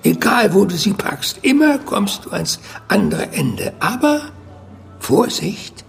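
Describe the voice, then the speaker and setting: An actor playing a sly older Chinese-Cuban man who speaks in cryptic, deliberate proverbs in a radio play. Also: sits high, very animated, gravelly, full character voice. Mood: sly, cautioning. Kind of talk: German radio drama acting.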